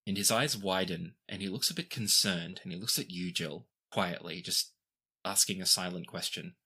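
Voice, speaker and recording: A man acting as game master, improvising narration for a tabletop game in a measured way with pauses mid-sentence. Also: low voice, bright, forceful tone, breathy edge.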